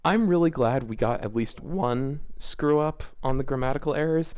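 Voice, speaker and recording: A man's voice: medium pitch, normal speed, darkish tone, smooth. Man reading fan fiction aloud, incredulous. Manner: breaks into incredulous laughter and groans. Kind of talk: casual reading aloud with commentary.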